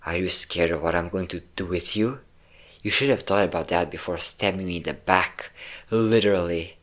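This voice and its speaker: A man's voice: sits low, normal speed, fairly bright tone. A man playing a possessive, obsessive vampire lover in an intimate, dramatic roleplay audio drama. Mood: menacing, mocking.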